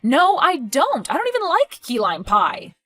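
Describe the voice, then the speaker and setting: A woman's voice: high-pitched, wildly swooping pitch, a little slow, sharp tone, dry recording, exaggerated voice. A woman playing a younger woman who feels accused, defensive and indignant in dramatic dialogue.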